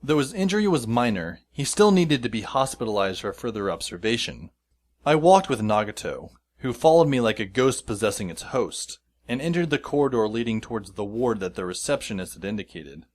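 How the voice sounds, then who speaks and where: A man's voice: medium pitch, big pitch swings, fairly quick. A man playing a dry, weary teenage schoolboy. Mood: wry, pensive. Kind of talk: scripted first-person audio drama narration.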